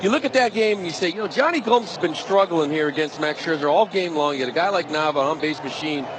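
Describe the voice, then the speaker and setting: Higher-voiced male baseball analyst, noisy ballpark. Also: lively intonation, quick pace. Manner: colloquial, poses questions, talks directly to listener. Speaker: baseball color commentator. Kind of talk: conversational live sports analysis. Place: ballpark broadcast booth. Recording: noisy live stadium broadcast. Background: clear stadium ambience.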